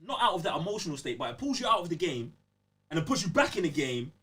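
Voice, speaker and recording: A London man co-hosting a casual gaming podcast. His fairly high voice swings around with a lot of animation, and his talk is slangy and emphatic. He sounds a little distant from the microphone. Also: big pitch swings, fast talker, a bit bright. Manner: slangy, emphatic, repeatedly checking the listener follows. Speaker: podcast co-host. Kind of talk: casual game review chat.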